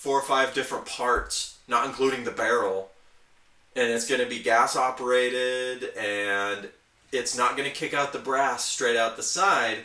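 A man's voice: medium pitch, fairly even intonation, unhurried, bright, forceful tone. A man chatting casually as a podcast host, explaining his hobby with nerdy enthusiasm. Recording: roomy, echoey, distant from mic.

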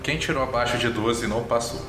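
A male game master narrates a tabletop role-playing session at a medium pitch. His voice has a roomy echo, and music runs clearly beneath it. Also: fairly quick, sharp tone.

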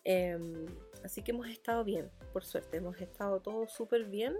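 A woman with a Santiago Chilean accent hosts a solo podcast in a mid-pitched voice. She talks in a colloquial, rambling, conversational way. Music plays behind her. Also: normal speed, mellow. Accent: Chilean Spanish (Santiago). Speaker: podcast host. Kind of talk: casual chatty solo monologue.

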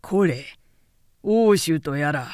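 A woman voicing an arrogant, rough samurai in an audiobook, with brusque, commanding speech. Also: low-pitched, expressive, slow pace, darkish tone, no echo, studio quality, pitched below the performer's own voice. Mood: haughty, commanding. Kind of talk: audiobook character dialogue.

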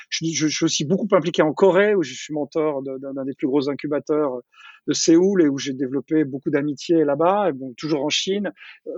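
Talkative middle-aged man, husky voice. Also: a little high, no echo, muffled recording. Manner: talkative, many restarts and repeated words. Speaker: entrepreneur interviewee. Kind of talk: casual conversational interview.

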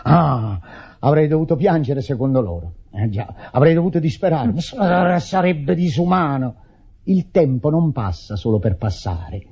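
An actor playing a bitter, exasperated widower in an Italian radio play, husky and declamatory. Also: male voice, a little high, sing-song, moderate pace, dark tone, full character voice. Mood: bitter, pleading. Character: exasperated widower raging at intrusive mourners. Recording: dull radio drama recording, background voices.

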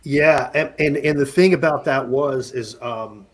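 A man's voice: mid-range voice, unhurried. A man in his late fifties, a podcast guest, chatting casually and rambling with many restarts and jokes. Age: 50s. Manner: rambling, restarts often, jokey. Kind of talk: casual chat.

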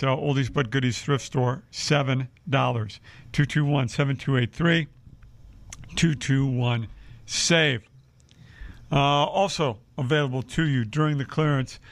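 Guy running a radio shopping show, lots of uhs, kinda high voice, dry close mic. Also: dry recording. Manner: chatty, many uh fillers. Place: radio studio.

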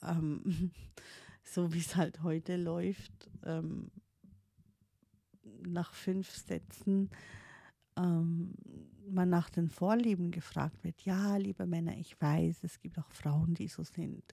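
Swiss-accented woman in her 30s, not a native german speaker, low mellow gravelly voice, stops and restarts a lot, dry close mic. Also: steady tone, slow, no room sound. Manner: hesitant, many fillers and restarts, thinking aloud. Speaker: streamer. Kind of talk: reflective personal storytelling.

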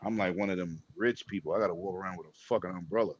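A man co-hosting a casual gaming chat, loud and profane, cracking jokes and laughing often. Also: sits low, rapid-fire, dull audio, background noise. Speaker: podcast co-host.

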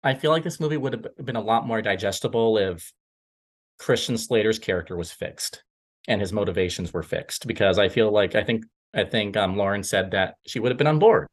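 A man's voice: on the low side, a little fast. A man discussing films casually, circling back over his phrases as he thinks aloud. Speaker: podcast panelist.

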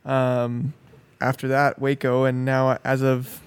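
A man's voice: mid-range voice, monotone, takes it slowly, clean tone, no room sound. A young man from East Texas, a guitarist and singer, chatting on a podcast in an easygoing, wry way. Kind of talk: casual podcast chat.

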